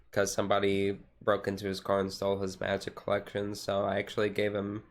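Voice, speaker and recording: A man chatting casually on a relaxed livestream in a rambling, easygoing way. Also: on the low side. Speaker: streamer, tabletop game master. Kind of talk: casual chat on a relaxed ASMR-style livestream.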